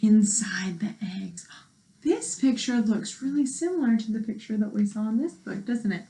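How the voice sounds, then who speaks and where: A woman's voice: medium pitch, lively intonation, normal speed, vocal fry, mellow. A woman teacher reading aloud to young children and explaining as she goes in simple words, with questions to the listeners. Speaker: elementary school teacher.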